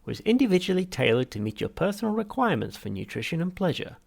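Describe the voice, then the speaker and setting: Man voicing a high-pitched, gravelly drinks machine. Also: sing-song, quick pace, darkish tone, full character voice. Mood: chirpy, sweet. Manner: burbling, sing-song corporate sweetness. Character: cheerful, relentlessly sweet drink-dispensing machine turning terse. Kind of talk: audiobook character voice.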